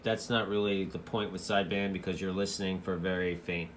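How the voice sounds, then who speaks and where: A man's voice: low-pitched, steady tone, a little fast, a little hiss or hum. A man giving a casual, loosely explained product walkthrough as a radio hobbyist reviewer, in an informal, rambling way.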